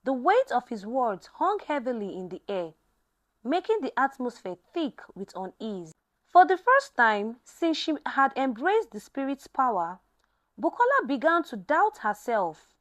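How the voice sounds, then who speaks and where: A woman's voice: high-pitched, very animated, a little slow. A woman narrating a scripted dramatic story as a storyteller.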